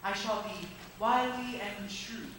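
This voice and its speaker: A teenage girl playing an earnest, playful young gentleman in love in a high school stage musical. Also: slow, distant mic, slight background noise. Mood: wistful, earnest. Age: teens. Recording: echoey stage recorded from afar. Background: musical accompaniment.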